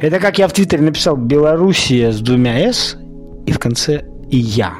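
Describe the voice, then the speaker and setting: A man hosting a podcast, talking in an informal, opinionated monologue with rhetorical questions and halting, colloquial phrasing that repeats words. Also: on the high side, animated, soft background music. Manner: argumentative, poses rhetorical questions. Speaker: podcast host.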